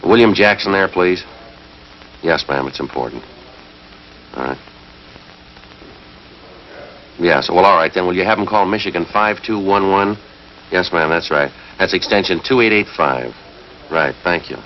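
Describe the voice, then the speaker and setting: A man's voice: low-pitched, normal speed, slight background noise, lightly acted. An American actor in his thirties playing a terse, methodical, fair-minded police sergeant in a 1950s TV drama, speaking in a clipped, matter-of-fact way. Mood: firm, businesslike. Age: 30s. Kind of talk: 1950s TV police drama acting and scripted narration. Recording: muffled 1950s TV soundtrack, music underneath.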